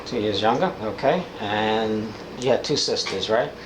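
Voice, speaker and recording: A man's voice: fairly low pitch, measured pace. A man interviewing someone informally, asking short questions and repeating answers back to prompt the speaker. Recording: echoey, noisy room recording.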